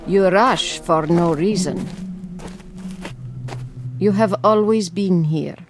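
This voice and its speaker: An actress playing an ominous, commanding fortune teller in an audio drama, speaking in a cryptic, portentous manner. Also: female voice, on the low side, slow, over music. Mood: ominous, fatalistic.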